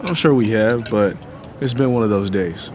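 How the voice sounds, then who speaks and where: Actor as a low-voiced guarded boyfriend. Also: male voice, normal speed, darkish tone, soft background music, lightly acted. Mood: guarded, dismissive. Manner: casual, curt, guarded. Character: suspicious, guarded boyfriend, a grown man. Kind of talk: dramatized audio fiction dialogue.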